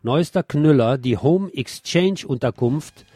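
Mellow-voiced man reading the news. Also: mid-range voice, expressive, unhurried, no room sound. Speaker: radio news presenter. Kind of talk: scripted news reading with some pointed commentary.

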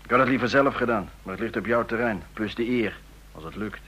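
An actor playing a roguish, envious intelligence officer in a radio play, speaking with sardonic humour in clipped phrases. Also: male voice, on the low side, brisk, a bit bright, husky. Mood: grudging. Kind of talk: radio drama dialogue.

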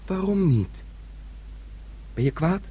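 An actor in a radio drama playing a naive, excitable young man, boyish, dreamy and eager to please, with a husky voice. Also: sits high, slow, highs cut off, heavily acted. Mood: teasing. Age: young adult.

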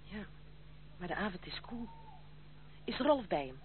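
A woman's voice: mid-range voice, sing-song, unhurried. An actress playing a proud, stern young woman in a Dutch radio drama, speaking formally and resolutely. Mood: uneasy. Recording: tinny, noisy old radio play recording.

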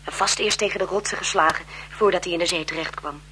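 A chatty, deferential governess in a seventies radio play, with a bright, forward voice. She is polite and eager, and dramatic with news. A faint hiss sits on the old recording. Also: lowish voice, fast, low-quality recording. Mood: shocked, gossipy. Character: deferential, chatty, gossipy governess to a marquise's children. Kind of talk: 1970s Dutch radio drama acting.

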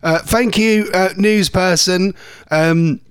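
A man's voice: sits high, slow pace, clean tone, no echo, studio quality. A man presenting a local radio show, rambling and self-mocking while he loses his voice. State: losing his voice. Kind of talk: casual live radio chat. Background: music bed.

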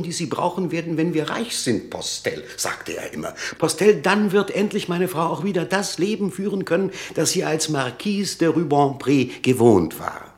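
A fussy small-town pharmacist in a radio play. His voice sits a little high and swings freely in pitch. He rambles mournfully about the past and repeats his phrases. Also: gravelly. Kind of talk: German radio drama acting.

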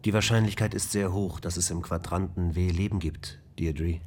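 A man voicing a calm male onboard ship computer that tells a bedtime fairy tale in a measured, matter-of-fact way. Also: sits low, a little hiss or hum. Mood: level. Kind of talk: German radio drama acting. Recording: radio play with music underneath.

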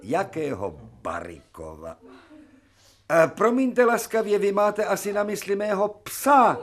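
An actor playing an older, imperious professor in a radio drama, pompous and elaborately polite with dry irony. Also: male voice, sits high, very animated. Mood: indignant, amused. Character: courtly, ironic, imperious male professor of surgery.

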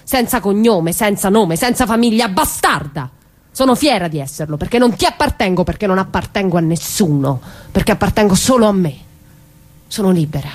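A woman's voice: mid-range voice, expressive, fairly quick, a little mellow, close to an everyday voice. An actress playing a bitter, defiant mother, blunt and emphatic in a dramatic stage reading. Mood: scornful, defiant. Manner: blunt, emphatic, repeating phrases. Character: defiant, bitter mother standing up to a mafia man.

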